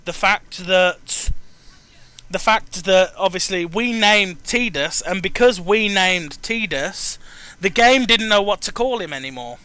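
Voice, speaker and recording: A man's voice: high voice, lively intonation, a little slow, pushed and bright, clean tone, no room sound. A man hosting a casual chat about video games, stammering and often repeating the starts of his phrases. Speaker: host interviewing a guest.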